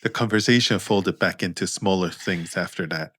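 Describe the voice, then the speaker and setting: Deep-voiced man narrating fiction. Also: moderate pace, fairly bright tone, no echo, clean studio sound. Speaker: author and narrator.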